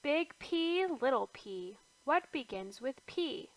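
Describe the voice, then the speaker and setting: Slow, high sing-song storybook woman. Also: lively intonation, no room sound. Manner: sing-song, rising on each capital letter and dropping to a soft hush on repeated letters. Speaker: children's book reader. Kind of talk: slow read-aloud for toddlers.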